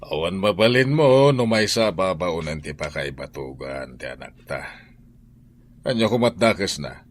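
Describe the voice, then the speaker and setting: A man's voice: deep voice, animated, a little slow. A man playing a kindly, paternal master of the house in a radio drama, reassuring, with an Ilocano accent. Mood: matter-of-fact. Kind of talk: Filipino radio drama acting.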